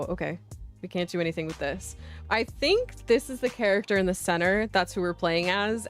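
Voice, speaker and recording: A woman hosting a livestream of visual novels, chatting casually and playfully and sometimes reading aloud, in an informal way. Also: on the low side. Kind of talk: casual game stream chat with reading aloud.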